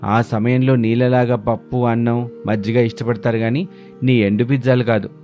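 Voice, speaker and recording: A man's voice: mid-range voice, flat delivery, moderate pace, warm tone, faint music behind, natural voice. Man acting a scolding, fed-up husband. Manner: reproachful, lecturing. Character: angry, scolding husband fed up with his wife.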